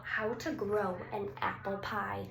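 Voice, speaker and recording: A woman's voice: mid-range voice, level tone, slow, vocal fry. A woman reading a picture book aloud to young children, drawing out words and putting questions to her listeners. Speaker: story reader for young children. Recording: roomy echo, some background noise.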